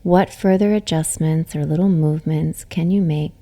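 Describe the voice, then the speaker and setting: A woman yoga nidra teacher guiding a relaxation meditation in a soothing, gentle, unhurried way, with soft, breathy phrase endings. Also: sits low, fairly even intonation.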